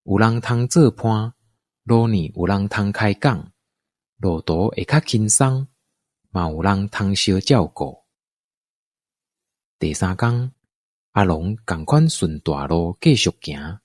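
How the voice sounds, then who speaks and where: A man's voice: deep voice, a little mellow, slightly rough, no room sound, clean studio sound. A man with a Taiwanese accent narrating a scripted radio drama as a storyteller.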